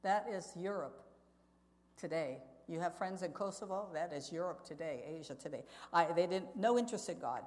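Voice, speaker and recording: A woman's voice: fairly low pitch, lively intonation, moderate pace, darkish tone. A woman giving an earnest, conversational church testimony talk as a missionary speaker, telling stories and putting questions to her listeners. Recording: echoey hall with long reverb.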